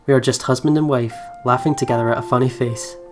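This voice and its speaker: A man hosts a let's play, reading story narration aloud and breaking off for fond, giggly commentary. His pitch is middling, and faint music plays behind him. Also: steady tone, fast talker.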